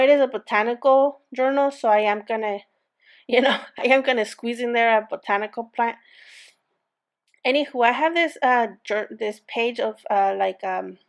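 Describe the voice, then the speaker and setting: A woman with a light accent talks her viewers through a crafting project. Her voice sits a little high, and she rambles in a friendly, chatty way, full of fillers and restarts. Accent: non-native English accent. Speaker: crafting channel host. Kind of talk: casual crafting tutorial chat.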